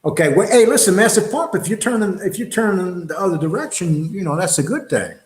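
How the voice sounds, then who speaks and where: A man's voice: high-pitched, lively intonation, a little fast, reverberant. A man hosting a livestream talk show, blunt and rambling, throwing out rhetorical questions and reading viewer comments. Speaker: show host.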